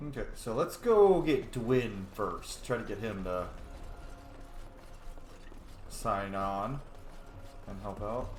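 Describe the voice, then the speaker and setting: Playful gamer man, lively pitch, roomy echo with game music under him. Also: slow, long pauses. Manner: playful, voices player lines aloud. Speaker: roleplay gamer. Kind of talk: casual roleplay playthrough.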